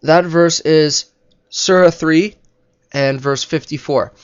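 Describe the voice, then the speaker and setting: A man's voice: a little high, fairly even intonation, takes it slowly, smooth, lo-fi sound. A man giving an unscripted spoken commentary on religion in a didactic, argumentative manner.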